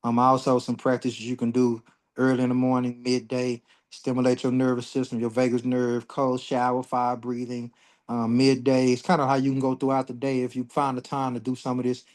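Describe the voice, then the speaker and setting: A man's voice: medium pitch, completely flat delivery, brisk, a little mellow. Blunt, profane man in his forties. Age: 40s. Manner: blunt, profane, worked up when ranting. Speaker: astrology livestream host. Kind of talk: casual livestream talk.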